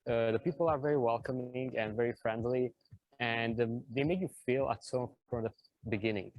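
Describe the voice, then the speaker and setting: A man's voice: medium pitch, fairly quick, no room sound, lo-fi sound. A man from Romania with a Romanian accent, giving a casual testimonial as a digital nomad.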